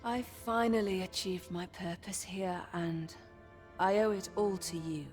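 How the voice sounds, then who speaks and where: An actress playing a seductive, vengeful masked madam in a fantasy game, speaking in measured, theatrical, archaic phrases. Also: female voice, fairly low pitch, fairly even intonation, darkish tone, soft background music, lightly acted. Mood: grateful, intimate. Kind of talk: fantasy video game voice acting.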